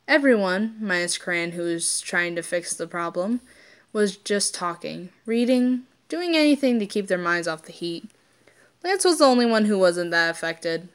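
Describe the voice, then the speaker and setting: A woman with a mid-range voice speaks as an amateur channel host. She reads fan fiction and talks to listeners in a casual way. Her delivery is informal and unpolished, with occasional stumbles. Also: medium pitch. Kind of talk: reading stories aloud and chatting casually to listeners.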